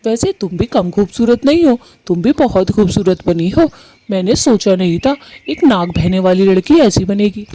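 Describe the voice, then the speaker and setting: A woman voicing a shy, soft-hearted young male bird, bashful and earnest, in a cartoon story. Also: medium pitch, expressive, moderate pace, slight background noise, lightly acted. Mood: shy, admiring. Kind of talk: animated cartoon story dialogue.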